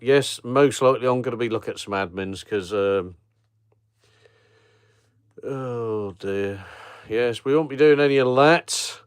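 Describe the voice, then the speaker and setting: A middle-aged man with a Norfolk accent, hosting a relaxed live chat for viewers of his travel channel, rambling with ums and chuckles. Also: mid-range voice, no echo, studio quality. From Norfolk, England. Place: home at night, streaming on a phone. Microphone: phone held in portrait mode.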